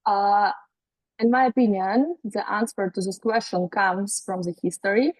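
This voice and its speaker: A woman's voice: mid-range voice, steady tone, normal speed, no room sound, poor audio. A woman from Latvia, explaining matter-of-factly as a guest on a webinar over a video call. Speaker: guest speaker. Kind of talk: webinar interview.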